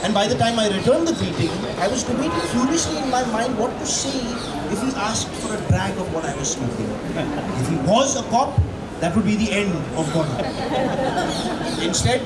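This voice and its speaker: A young man reading a memoir passage aloud into a handheld mic at a book launch, in a measured, steady way. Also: high voice. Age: young adult. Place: dark indoor theatre foyer, crowd around. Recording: echoey room with loud crowd noise.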